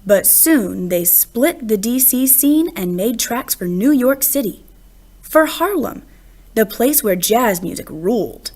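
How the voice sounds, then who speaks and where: Slow, higher-voiced woman reading a storybook. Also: lively intonation, darkish tone. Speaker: reader of a children's picture book. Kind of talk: storybook read-aloud, expressive narration.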